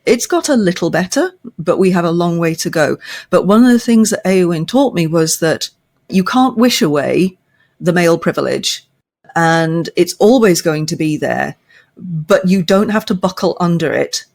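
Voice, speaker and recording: An older woman, a podcast guest, discussing literature thoughtfully with measured, emphatic phrasing and deliberate pauses. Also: on the low side, expressive, vocal fry, a little mellow. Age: older, past fifty.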